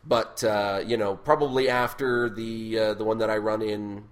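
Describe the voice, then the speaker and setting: A man hosting a casual podcast chat, with a loud, booming voice, swearing freely and laughing often. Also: steady tone, moderate pace. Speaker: podcast host.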